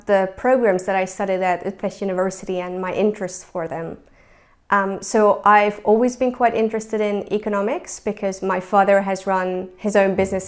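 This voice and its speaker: A woman in her twenties, from Hungary, talking through her studies in a relaxed, thoughtful way, with many ums and restarts. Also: medium pitch. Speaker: former university student. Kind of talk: casual spoken account to camera.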